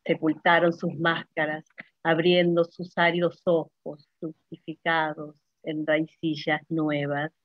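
Mujer uruguaya pausada, audio apagado. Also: female voice, deep voice, level tone, dry recording. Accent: Uruguayan Spanish. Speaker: poet. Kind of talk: poetry reading and introductory remarks at an online launch. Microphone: video call. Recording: dull lo-fi video call audio.

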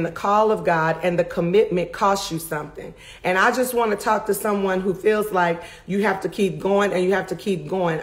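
A middle-aged woman preacher with a raspy voice, recapping a sermon to camera with fervent emphasis from a home study. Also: medium pitch. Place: home study with bookshelves, indoors.

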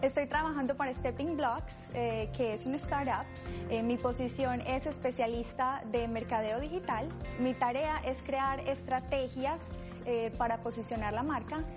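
A woman's voice: high voice, moderate pace. Young woman, thoughtful, music behind. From Colombia. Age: young adult. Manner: hesitant fillers, thoughtful. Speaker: digital marketing specialist. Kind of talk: documentary interview. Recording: slightly dull audio with music.

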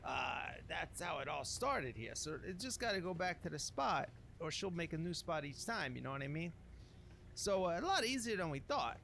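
A man's voice: a little high, very animated, normal speed, vocal fry, a bit bright, a little hiss or hum. A man giving casual, improvised gameplay commentary in slangy, grumbling talk with mild minced oaths. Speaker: gamer narrating a playthrough.

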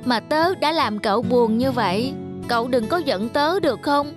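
A woman voicing a young child in a gentle moral cartoon, speaking simply and earnestly. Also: high voice, expressive, moderate pace, over music. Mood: remorseful. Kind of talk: Vietnamese children's cartoon dubbing.

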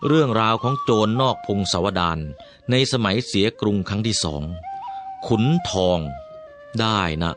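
A man's voice: lowish voice, unhurried. A man narrating a radio drama recap in a formal, scripted storytelling style. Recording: studio narration over loud flute music.

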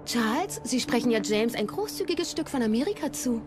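An actress playing a poised, knowing royal mistress in a historical docudrama, speaking in a courtly manner. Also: female voice, a little high, expressive, a little fast, over music, close to an everyday voice. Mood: knowing, composed. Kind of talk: German historical docudrama acting.

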